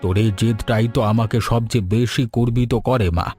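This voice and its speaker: A man's voice: medium pitch, fairly even intonation, a little fast, darkish tone, close to an everyday voice. Man acting caring old grandfather over music. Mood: proud. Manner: affectionate, advising. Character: caring, proud old grandfather who runs a paratha shop. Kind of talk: animated storybook cartoon dialogue. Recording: music bed under the voice.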